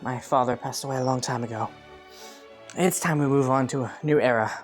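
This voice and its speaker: A man playing an ambitious young prince in a romantic fantasy audio roleplay, with formal, courtly phrasing and soft hesitations, scheming yet earnest. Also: mid-range voice, fairly even intonation, a little fast, faint music behind, natural voice. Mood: solemn, resolved.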